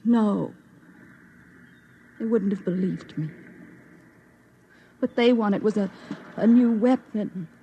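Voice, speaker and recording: A woman's voice: mid-range voice, slow, pauses often, highs cut off, a little hiss or hum. An actress playing a lonely, bitter, secretive young woman in a 1950s radio drama, with a world-weary, intense delivery. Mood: scornful, anguished.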